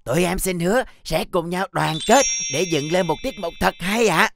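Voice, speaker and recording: Low-pitched cartoon schoolboy, heartfelt. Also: female voice, moderate pace, gravelly. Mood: earnest pleading. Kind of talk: children's cartoon dubbing. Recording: loud music behind.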